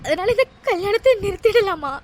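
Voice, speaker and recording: A woman's voice: very high-pitched, lively intonation. A woman voicing a heartbroken young woman, crying and stammering, in an amateur audio drama. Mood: sobbing, broken. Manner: halting, stammering sobs. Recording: noisy home recording with hiss.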